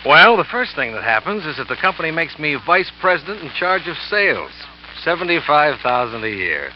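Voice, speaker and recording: An actor playing a smooth-talking, scheming salesman who narrates his own story in 1940s radio drama style, boastful and quietly cold. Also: a little high, sing-song, a little fast, a little hiss or hum. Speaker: narrator and lead character. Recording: dull old radio recording with music.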